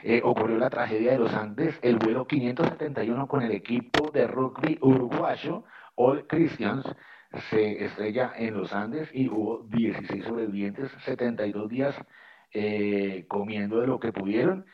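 A man's voice: medium pitch, moderate pace. A man co-hosting a casual radio chat, playful and jokey. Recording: dull, distant sound.

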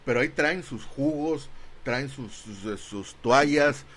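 Radio co-host, mid-pitched man. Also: takes it slowly, a bit bright. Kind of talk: casual sports chat.

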